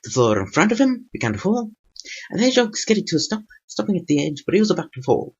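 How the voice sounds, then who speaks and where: A man's voice: high voice, very animated, quick pace, breathy edge, dull audio, pitched above the performer's own voice, full character voice. Man putting on a raised storybook narrator voice. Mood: dramatic narration. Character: storybook narrator telling a cartoon adventure tale. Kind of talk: scripted narration in a put-on voice.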